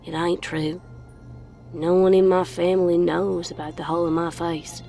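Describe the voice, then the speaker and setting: A woman's voice: fairly low pitch, level tone, moderate pace, vocal fry, dark tone, faint music behind. A woman voicing a troubled young pony who narrates her secret horror story in a brooding, folksy way. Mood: secretive, bleak. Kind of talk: dramatic first-person horror storytelling.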